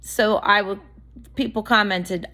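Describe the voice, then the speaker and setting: A woman's voice: medium pitch, fairly even intonation, a little slow, bright, forceful tone. A woman vlogger talking straight to camera in a candid, blunt, rambling monologue, her voice a little worn from allergies.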